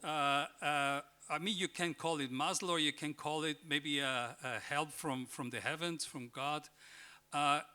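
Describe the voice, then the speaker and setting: A man addresses a conference panel in a somewhat high, bright voice. He hesitates a lot, filling gaps with "uh" and "like" and restarting his sentences. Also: steady tone, a little slow, clear, resonant tone. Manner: hesitant, many fillers and restarts. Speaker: panelist, member of parliament.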